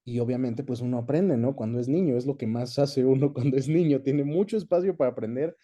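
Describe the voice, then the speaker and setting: A man's voice: mid-range voice, fairly quick, warm tone. A young Mexican man chatting easily as an interview guest, reminiscing about his work in a casual way. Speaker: guest, voice actor.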